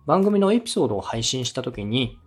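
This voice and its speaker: Lively, slightly husky man coaching politely. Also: mid-range voice, a little fast. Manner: polite, instructive. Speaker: podcast host and radio director. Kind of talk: explanatory coaching talk on a podcast.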